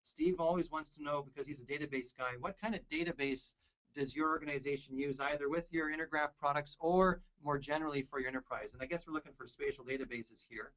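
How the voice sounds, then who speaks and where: A man hosting a software webinar, upbeat and joking, enthusiastic as he talks with a colleague. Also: fairly high pitch, animated, fast talker, rough recording. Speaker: webinar host. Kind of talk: casual webinar chat.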